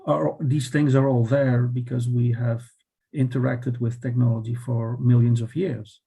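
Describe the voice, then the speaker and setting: Man, Dutch accent, mellow dark tone, thoughtful, hesitant explaining. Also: medium pitch, level tone, a little slow, husky. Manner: thoughtful, hesitant, frequent restarts and "right?" checks. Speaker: philosopher guest. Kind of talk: conversational explanation.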